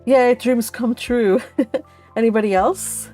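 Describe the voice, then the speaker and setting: Young woman from Mexico, slow, unhurried delivery, laughs a lot, hosting a read-along, faint music underneath. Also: a little high, dark tone. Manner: laughs often, many fillers like "like" and "um". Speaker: book club host. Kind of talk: casual chat and reading aloud.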